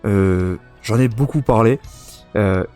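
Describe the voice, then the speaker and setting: A man's voice: lowish voice, slow, mellow, smooth. A man chatting casually and enthusiastically as host of a retro gaming podcast. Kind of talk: casual podcast chat about retro video games. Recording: music playing behind the voice.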